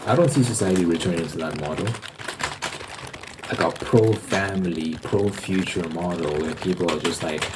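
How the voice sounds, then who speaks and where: Low-pitched man rambling in ASMR style. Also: normal speed, background noise. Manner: hesitant, repeating words, informal. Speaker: host. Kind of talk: casual rambling ASMR monologue.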